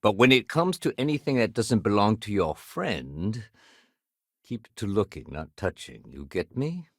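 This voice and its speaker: A man playing a steady, dutiful security sergeant in a video game, speaking conversationally with official firmness and dry wit. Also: on the low side, a little slow, dry recording, full character voice. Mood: instructing. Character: steady, dutiful male spaceport security sergeant, dryly wry.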